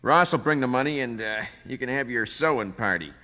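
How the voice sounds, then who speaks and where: Smug suspicious crime boss in an old radio play, sharp voice, swings up and down, big echo. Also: male voice, medium pitch, expressive. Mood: smug menace. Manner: deliberate, smugly threatening. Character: menacing, suspicious criminal boss giving orders. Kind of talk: 1970s radio drama acting. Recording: reverberant 1970s radio drama recording.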